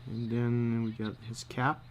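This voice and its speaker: A man giving a casual think-aloud commentary while he draws, muttering to himself in short, halting phrases. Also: medium pitch, monotone, highs cut off. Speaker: storyboard artist giving a drawing demonstration. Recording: faint background noise.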